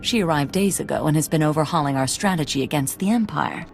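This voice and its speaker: An actress playing a composed military commander in a video game, formal, measured and authoritative. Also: female voice, low-pitched, creaky. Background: game music.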